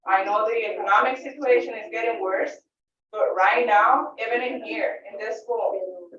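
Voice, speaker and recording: A woman with a Puerto Rican accent makes unscripted public comment. Her voice is fairly high and her delivery halting and informal. She sounds distant from the mic in a very echoey room, slightly muffled. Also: fairly bright tone. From Puerto Rico. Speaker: parent speaking at a public meeting. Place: indoor public meeting room.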